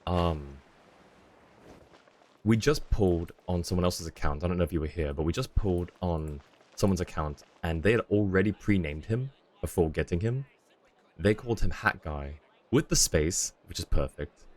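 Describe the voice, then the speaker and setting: A man's voice: deep voice, moderate pace, a little mellow. A man live-streaming a video game, chatting casually with viewers as he plays. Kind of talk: casual chat while playing a game for viewers.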